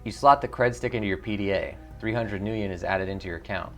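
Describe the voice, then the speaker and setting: A man's voice: lowish voice, fast, a bit bright, soft background music. A man streaming a video game, switching between casual commentary and reading the narration aloud.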